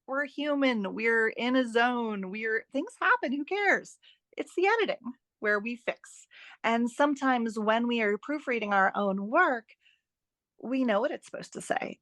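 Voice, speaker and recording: A woman's voice: fairly high pitch, fairly bright tone, no room sound. A woman speaking as a guest expert in a podcast interview, giving practical advice in an emphatic, encouraging way and repeating phrases for stress. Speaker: guest author and fiction editor.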